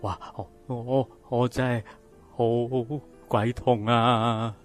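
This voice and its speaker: A man acting a grasping, abusive old father in a radio drama, with a Hong Kong Cantonese accent and a halting, coarse delivery. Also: medium pitch, level tone. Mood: hurt, accusing. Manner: halting, stammering, groaning, coarse. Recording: music behind the voice.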